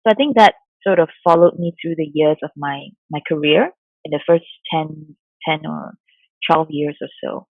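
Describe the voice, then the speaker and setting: A woman from Singapore in her forties, a mindfulness coach answering interview questions in a soft, thoughtful way with many fillers. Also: deep voice, steady tone, moderate pace, vocal fry, dry recording. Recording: dull lo-fi sound, dry.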